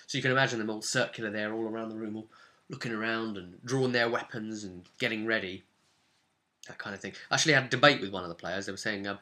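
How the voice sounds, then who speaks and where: Bright, forceful man telling game stories. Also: lowish voice, steady tone, fast. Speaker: game master recapping sessions. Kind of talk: casual vlog recounting a tabletop role-playing game.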